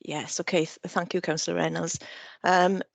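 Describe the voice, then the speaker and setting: Lowish woman council officer, lots of restarts, dry sound. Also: flat delivery, normal speed, creaky, dry recording. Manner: hesitant, many restarts and fillers. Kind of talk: answering questions at a committee meeting.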